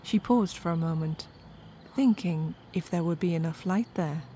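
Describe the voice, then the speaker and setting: A woman storyteller with a slightly low voice. She narrates a scripted comedy tale in a wry way, slipping conversational asides to the listener. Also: normal speed, warm tone, a little hiss or hum.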